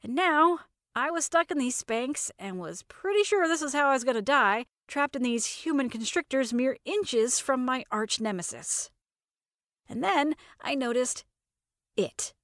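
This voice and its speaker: Wry, high-pitched woman narrating comic fiction. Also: animated, unhurried, fairly bright tone, no echo, studio quality. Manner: wry, conversational, sardonic. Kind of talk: first-person comic story narration.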